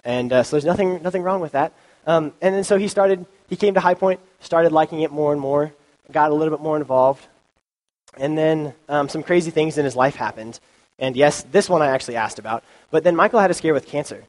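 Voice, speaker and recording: A young man gives a relaxed youth sermon into a microphone, a little stuffy from a cold. His delivery is informal and conversational, full of fillers and asides. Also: a little high, fairly quick, rough recording. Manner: informal, chatty, many ums and likes. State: has a cold, sniffly. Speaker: youth pastor. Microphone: handheld or stand mic.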